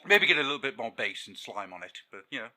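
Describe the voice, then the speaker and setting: A man hosting a podcast, jokey and quick, laughing often. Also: mid-range voice, sing-song, sharp tone. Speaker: podcast host. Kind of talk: casual podcast chat.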